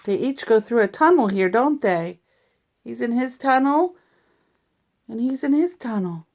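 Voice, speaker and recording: A woman reading a picture book aloud to young children in an expressive, deliberate, teacherly way. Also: a little high. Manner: deliberate, animated reading with sound effects and asides to listeners.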